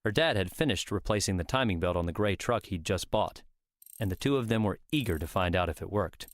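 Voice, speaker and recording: A man's voice: sits low, animated, fairly quick. A man reading scripted story narration for an audiobook.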